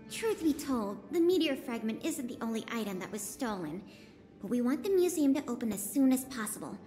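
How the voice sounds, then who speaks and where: A woman's voice: on the high side, very animated, brisk, mellow, long reverb tail, soft background music. An actress playing an eager, bookish young female museum official in a video game, explaining things with polish and enthusiasm. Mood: earnest, concerned. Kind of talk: scripted video game voice acting.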